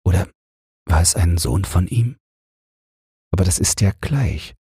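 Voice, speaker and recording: A man narrating a bedtime fairy tale audiobook in a calm, measured, husky, breathy voice. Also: sits low, no room sound, clean studio sound.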